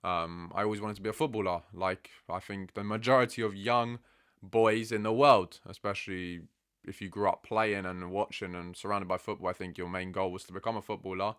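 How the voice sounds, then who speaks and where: A man in his early twenties from England, answering fan questions in a relaxed, chatty way and often saying "bro". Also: sits low, fairly quick, clean tone. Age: 20s. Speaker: football content creator. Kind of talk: casual Q&A chat.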